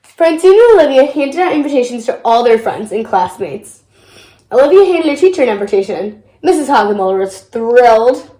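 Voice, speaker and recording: High-pitched female storybook reader. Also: sing-song, brisk, dark tone, echoey room, rough recording. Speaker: storybook reader, channel host. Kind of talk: read-aloud children's story narration.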